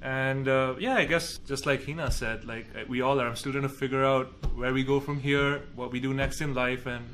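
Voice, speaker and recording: A man's voice: medium pitch, fairly even intonation, normal speed, hardly any pauses, fairly bright tone, slight background noise. A man in his twenties from India, a medical resident co-hosting a podcast, talking with playful, jokey asides in an office with a couch. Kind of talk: casual chat.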